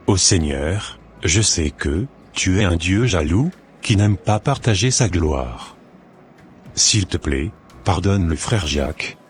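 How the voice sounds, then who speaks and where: A man's voice: sits low, a little hiss or hum. A man voicing a stern, devout pastor. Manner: solemn, preachy, fervent in prayer.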